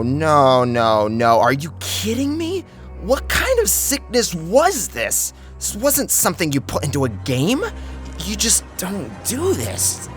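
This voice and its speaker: A man narrating a first-person horror story in an intense, theatrical, scripted style. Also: a little high. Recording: clear music bed under narration.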